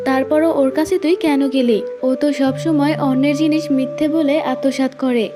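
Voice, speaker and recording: A woman's voice: high-pitched, steady tone, fairly quick, music in the background, lightly acted. A woman voicing a bossy, exasperated mother fox in a children's cartoon. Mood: scolding.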